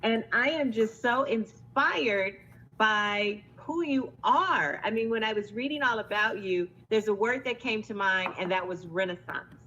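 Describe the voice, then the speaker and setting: A woman's voice: medium pitch, unhurried, sharp tone. A woman interviewing a guest as co-host in a conversational style. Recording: dull lo-fi, faint noise.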